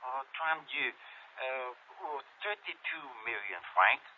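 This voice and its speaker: An actor playing a greedy Parisian underworld informant in an old radio drama, with a French accent and an oily, mock-polite, drawn-out way of haggling. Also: male voice, medium pitch, lively intonation, slight background noise, natural voice. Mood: informative, smooth. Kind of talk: 1950s radio acting. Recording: narrow, muffled old radio recording.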